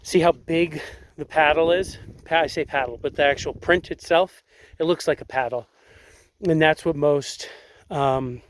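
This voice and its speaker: A young man with a slightly raspy, congested voice, casually explaining and demonstrating outdoors on a sandy riverbank, rambling with lots of fillers. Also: a little high. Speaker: tracking instructor.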